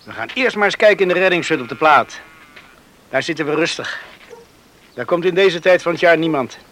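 A man's voice: fairly high pitch, a little fast, fairly bright tone, slight background noise. An actor playing a restless young man in a Dutch radio play, stammering a little when challenged. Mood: fond. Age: young adult. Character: restless young man craving freedom, talks to his dog.

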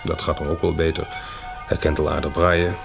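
A man acting in a radio play as a practical, dryly teasing head of a household of survivors, speaking in a measured, wry way. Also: rumbling low, little pitch movement, gravelly, music in the background. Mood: earnest, practical. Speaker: actor in a radio drama.

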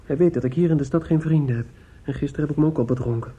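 A man's voice: fairly high pitch, very fast, dark tone, slightly rough. An actor playing an anxious, tender young man in a radio drama, with an earnest, hesitant delivery. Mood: tender, worried. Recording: dull, noisy old radio drama recording.

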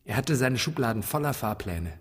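An actor playing a brooding son alone in his dead father's flat, speaking a poetic radio monologue in terse, intense fragments. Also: mid-range voice, moderate pace, slightly rough, in a large hall. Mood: haunted remembering.

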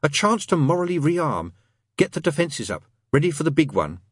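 A sardonic, restless demon, acted out by a man reading a storybook, with a husky edge and big swoops in pitch. He presses his case insistently, tossing out rhetorical questions in a dry, close recording. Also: big pitch swings, normal speed, no echo. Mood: wry, brooding. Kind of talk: dramatised audiobook reading.

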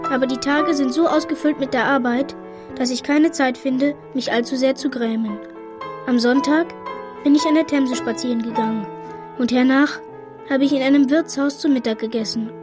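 A woman plays an earnest little boy in a radio play. The voice is very high, with careful, well-mannered phrasing. Faint music runs beneath it. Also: steady tone, moderate pace, natural voice. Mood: earnest, affectionate. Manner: polite, well-spoken, careful. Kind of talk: German radio play acting.

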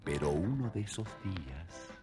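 A man, giving expressive scripted narration of a children's story. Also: deep voice, measured pace, loud music behind the voice. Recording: reverberant old record, faint noise.

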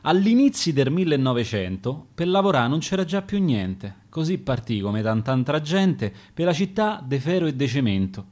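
A man with a Roman accent playing a rough, sincere young farmhand telling his story in verse. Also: fairly high pitch, very animated, moderate pace, hardly any pauses, darkish tone. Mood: proud, wistful. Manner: plain-hearted storytelling in verse.

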